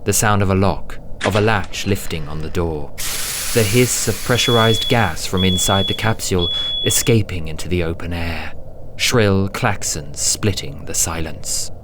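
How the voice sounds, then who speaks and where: Low, deliberate man narrating suspenseful audio drama. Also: very noisy. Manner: suspenseful, hushed at times, deliberate.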